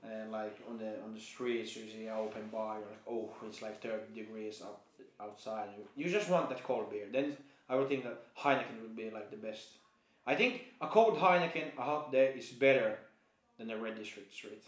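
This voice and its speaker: A man's voice: fairly low pitch, moderate pace. A man from Finland with a Finnish accent, chatting casually over beer as a podcast co-host, blunt and rambling, with swearing. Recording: echoey room, amateur recording.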